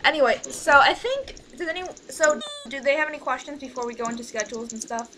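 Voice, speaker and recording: A woman's voice: high voice, expressive, fast talker, noisy background. A young woman chatting casually on a group call as host, rambling, giggly and often interrupting herself. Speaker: host of an online fan project meeting.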